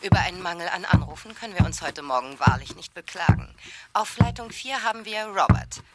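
An actress playing a blunt, hard-line talk radio host in a radio drama. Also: female voice, on the low side, animated, brisk, loud music behind the voice, natural voice. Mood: on-air brisk. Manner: blunt, provocative. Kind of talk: radio drama acting, call-in talk show monologue.

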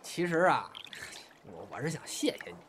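A man's voice: medium pitch, very animated, moderate pace, a bit bright. A man playing a jealous, cheeky young brother in an audio drama, chatty and needling in manner. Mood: sincere. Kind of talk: Chinese audio drama dialogue. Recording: faint background noise and ambience.

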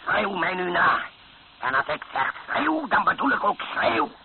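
Brutal, high-pitched barking colonel. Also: male voice, sing-song, unhurried, exaggerated voice. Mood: menacing, commanding. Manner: barking, commanding. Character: brutal, domineering male rebel colonel. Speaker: rebel commander. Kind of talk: radio drama acting. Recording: muffled lo-fi radio recording with hiss.

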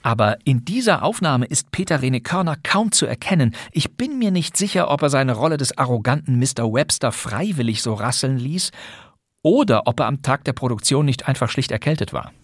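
A man's voice: mid-range voice, lively intonation, fairly quick, no echo, clean studio sound. A man hosting a podcast, presenting classic radio plays from a script in a chatty, wry way. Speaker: podcast host.

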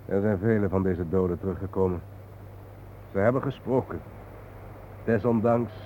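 An actor as a brooding, haunted male guide in a radio play, grave and reflective. His voice is fairly low, heard through a tinny, narrow band with light noise. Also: normal speed, close to an everyday voice. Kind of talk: radio drama acting, at times poetic.

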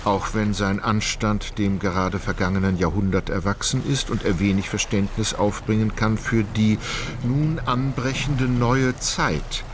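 A man's voice: on the low side, background noise, lightly acted. A man narrating a literary radio play in an articulate, ironic storytelling manner.

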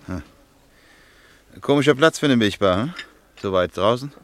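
A man playing a curt, hurried late-night customer in a German radio drama, speaking in terse, brusque phrases. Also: medium pitch, animated, a bit bright, natural voice. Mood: curt, restless.

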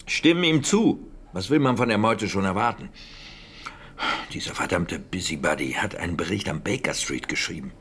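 An actor playing a talkative, defensive wartime British intelligence officer in a German radio drama, speaking conversationally and emphatically. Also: male voice, low-pitched, animated, moderate pace, a bit bright, a little hiss or hum. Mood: scoffing, defensive. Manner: conversational, emphatic, with hesitations and scoffing.

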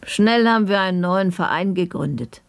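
An actress playing a reflective woman narrator in a literary radio play, speaking with a breathy, husky voice in a measured, contemplative way. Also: fairly low pitch, dry recording, natural voice. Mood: hushed, reflective. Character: reflective woman narrator recalling a servants' uprising.

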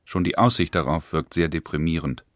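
A man's voice: very deep voice, steady tone, brisk, dry recording, studio quality. An actor reading the letters of a skeptical 19th-century industrialist to his brother, grave and reflective. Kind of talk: reading letters aloud in a radio documentary.

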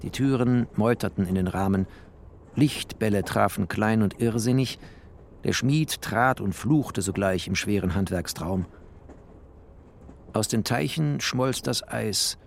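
An actor playing a bookish, weary traveller who narrates in terse, deliberate, expressive literary prose. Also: male voice, on the low side. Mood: terse, brooding. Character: bookish male first-person narrator, a weary refugee traveller. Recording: clear noisy ambience behind the voice.

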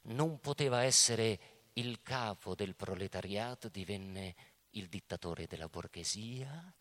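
A man giving a deliberate, dramatic scripted narration in a radio theatre piece. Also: on the low side, expressive, a bit bright. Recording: music playing behind the voice. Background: music.

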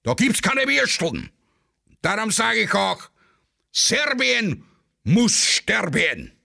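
A bombastic, muddled Viennese patriot haranguing a street crowd. The voice is high and forceful, swooping theatrically through slow, declamatory phrases. It has the feel of a satirical radio play. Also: male voice, very animated, vocal fry, heavily acted. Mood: bombastic, fervent. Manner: declamatory, pompous. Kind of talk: rabble-rousing speech in satirical radio play.

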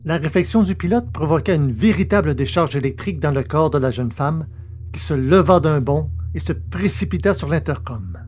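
A man's voice: fairly high pitch, animated, normal speed, a little mellow. A man narrating an audio drama from a script in a careful, literary reading style. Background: music.

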